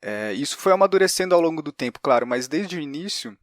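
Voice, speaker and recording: A man's voice: fairly high pitch, expressive, fast, no echo, studio quality. A man with a Brazilian accent hosting a conversational interview, hesitantly searching for his words. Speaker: podcast host.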